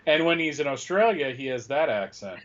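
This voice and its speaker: A man's voice: mid-range voice, animated, fairly quick. A man co-hosting in casual chat, on a slightly dull-sounding recording. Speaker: co-host.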